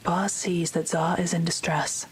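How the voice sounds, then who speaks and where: A woman narrating a scripted audio drama in a matter-of-fact, measured way. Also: lowish voice, little pitch movement, slight background noise.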